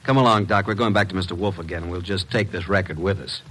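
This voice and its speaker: An actor playing a breezy, plain-spoken detective's assistant in an old-time radio drama. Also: male voice, sits low, fast talker, close to an everyday voice. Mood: businesslike. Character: breezy, practical detective's assistant, a working man. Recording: noisy vintage radio recording.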